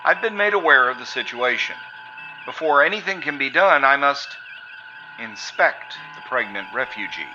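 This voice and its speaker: A man's voice: medium pitch, expressive, normal speed, bright, forceful tone. A man playing a stiff, disdainful alien magistrate with old grudges in a sci-fi audio drama. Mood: formal. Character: haughty, bitter alien male magistrate, prejudiced and grieving. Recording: dull audio with music behind.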